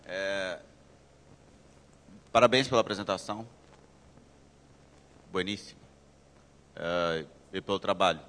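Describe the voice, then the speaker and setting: A man asks a question from the audience, starting and restarting as he goes. His voice is fairly low. The hall adds long reverb. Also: a bit bright. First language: Portuguese. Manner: hesitant, halting questioner. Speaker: audience member asking a question. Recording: hall reverb from a lecture room.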